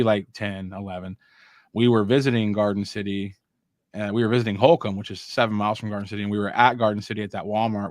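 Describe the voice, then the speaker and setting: A man's voice: fairly low pitch, no room sound, studio quality. A man in his late thirties hosting a podcast, chatting casually and rambling through stories. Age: 30s. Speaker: podcast host. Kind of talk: casual chat with live chat readouts.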